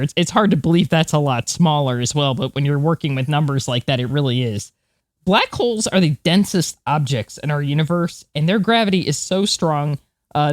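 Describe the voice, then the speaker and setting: A woman's voice: deep voice, darkish tone, dry recording. A woman co-hosting a podcast, talking through science news in a conversational way. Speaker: podcast co-host.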